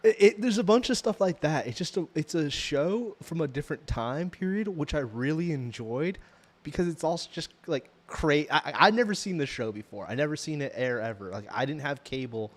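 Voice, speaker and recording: A man's voice: fairly high pitch, animated, brisk. A man with an American accent chatting casually as a podcast co-host, rambling through a TV show review with slangy emphasis.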